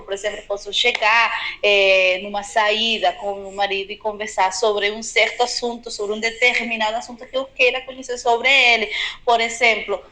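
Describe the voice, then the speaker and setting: A woman's voice: fairly high pitch, sharp tone. A woman from Venezuela with a Venezuelan accent, a native Spanish speaker, chatting casually and directly to her viewers as a video blogger. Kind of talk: casual chat to camera.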